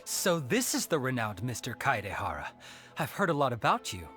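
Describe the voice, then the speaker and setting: A talkative, upright town detective, his mid-pitched voice bouncing up and down with eager expression. Faint music plays behind him in this video game scene. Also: huge pitch swings, moderate pace, fairly bright tone.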